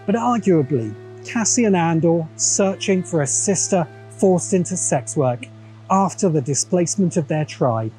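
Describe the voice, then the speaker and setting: A man's voice: a little high, expressive, measured pace, dark tone, soft background music, poor audio. An English man delivering scripted video essay narration in a didactic, wry way. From England.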